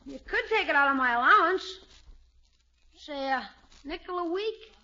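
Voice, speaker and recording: A performer playing an eager, earnest young boy with a squeaky, slangy delivery in 1950s radio comedy. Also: animated, moderate pace, pushed and bright, exaggerated voice. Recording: muffled vintage 1950s radio recording.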